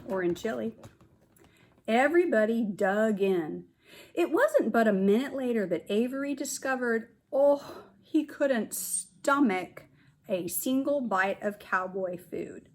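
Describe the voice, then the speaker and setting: Slow-paced woman reading to young children. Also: a little high, darkish tone. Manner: explains asides simply to young children. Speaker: kindergarten teacher. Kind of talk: children's storybook read-aloud.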